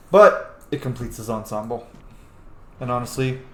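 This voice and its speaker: A man's voice: medium pitch, a bit bright. Echoey man doing casual game commentary. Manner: sweary, talks to game characters. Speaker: let's play host. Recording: roomy echo, clear background noise.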